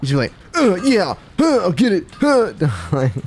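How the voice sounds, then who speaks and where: A man's voice: high-pitched, huge pitch swings, unhurried, a little hiss or hum. A man giving casual, slangy commentary as he plays a video game, with a wry, mocking manner. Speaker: game streamer. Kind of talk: casual commentary over gameplay.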